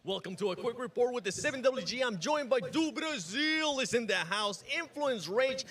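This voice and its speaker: A man's voice: extremely high pitch, lively intonation, moderate pace, fairly bright tone, faint music behind. A man interviewing players at an esports event in an upbeat presenting manner.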